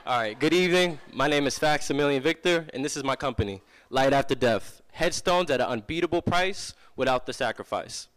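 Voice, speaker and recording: A young man giving a live startup pitch, projecting energetically as he tells a family story. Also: animated, brisk, hardly any pauses, a bit bright. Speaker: student startup founder pitching. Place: venture lab event room.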